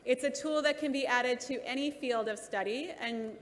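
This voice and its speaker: A woman keynote speaker answering audience questions in a measured, encouraging way at a conference. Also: high voice. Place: large conference hall. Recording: reverberant hall, faint noise.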